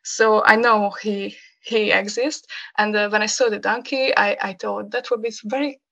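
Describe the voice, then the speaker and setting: A young Hungarian woman speaking English as a guest, talking casually with many pauses and fillers. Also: medium pitch, steady tone, vocal fry, bright, forceful tone, dull audio. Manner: hesitant, many fillers, laughs often. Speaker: podcast guest. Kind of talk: casual interview conversation.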